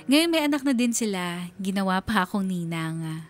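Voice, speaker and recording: A woman's voice: medium pitch, expressive, normal speed. A woman telling a first-person love story on radio in an emotive, confessional, dramatic style. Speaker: radio storyteller reading a listener's letter. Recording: music under the voice. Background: background music.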